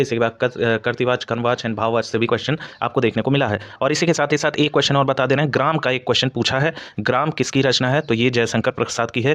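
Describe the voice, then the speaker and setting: A man's voice: mid-range voice, fairly bright tone. A man giving an informal exam analysis to students as a coaching teacher, explaining hesitantly and repetitively with English terms mixed in.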